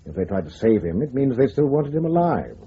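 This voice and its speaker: An actor playing a measured, authoritative intelligence chief with a husky voice, speaking formally in a radio drama. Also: male voice, mid-range voice, big pitch swings, a little hiss or hum. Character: measured, authoritative senior spymaster, calmly diplomatic superior. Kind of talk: broadcast radio drama acting. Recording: muffled radio drama recording.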